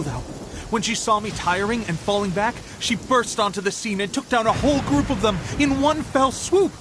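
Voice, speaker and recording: Young rebel recruit for a game, male actor: high male voice, earnest, proud, keen, clear music behind. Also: close to an everyday voice. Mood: admiring. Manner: earnest, eager. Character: earnest, eager young male resistance soldier, proud and respectful. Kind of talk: video game voice acting.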